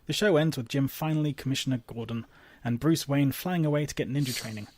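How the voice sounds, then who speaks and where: A man reading a scripted episode recap with sardonic, deadpan asides. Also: mid-range voice, fast talker.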